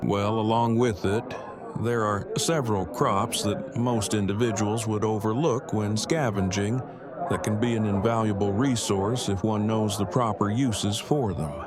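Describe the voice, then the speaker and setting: Guy acting as awkward bookish survivor man, low voice, super formal n wordy, deadpan, audio drama, music under it. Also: unhurried, a crowd in the background, natural voice. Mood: earnest.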